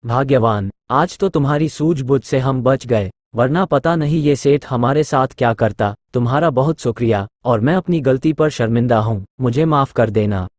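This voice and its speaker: A man's voice: mid-range voice, normal speed, few pauses, a little mellow, no room sound, clean studio sound, close to an everyday voice. Mild naive village priest played by a man. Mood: grateful, sorry. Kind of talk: Hindi cartoon story dialogue.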